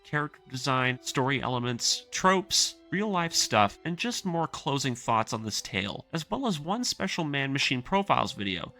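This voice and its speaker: A man's voice: medium pitch, pushed and bright. A man narrating a scripted analysis video as its host, in an earnest, emphatic way. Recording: background music under the voice. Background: music.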